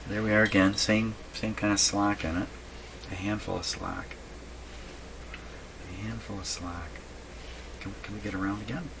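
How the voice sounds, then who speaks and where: A man giving unscripted, halting commentary as he thinks aloud while doing hands-on repair work. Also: lowish voice, flat delivery. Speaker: radio repair hobbyist narrating a workshop video. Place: indoor radio repair workshop. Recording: clearly noisy workshop recording.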